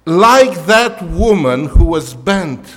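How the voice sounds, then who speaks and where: A man's voice: high voice, animated, takes it slowly, fairly bright tone, long reverb tail. A man whose first language is Romanian, a pastor preaching a conversational sermon in English, full of "you know" and restarts.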